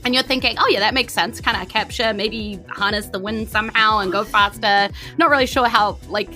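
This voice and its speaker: Bright-voiced woman running a tabletop game. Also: medium pitch, fairly quick. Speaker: game master. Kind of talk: improvised tabletop game narration. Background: faint music.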